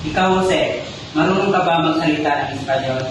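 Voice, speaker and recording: A man plays a stern, belittling schoolmaster in a historical school play. His voice is fairly high, heard from a distance in a very echoey room with music behind. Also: brisk, close to an everyday voice. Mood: questioning. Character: stern, belittling male schoolmaster questioning a young pupil. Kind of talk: amateur historical school drama.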